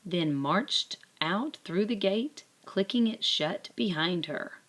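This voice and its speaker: A woman narrating a children's picture book aloud in a deliberate, expressive way. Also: medium pitch. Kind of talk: scripted children's story narration.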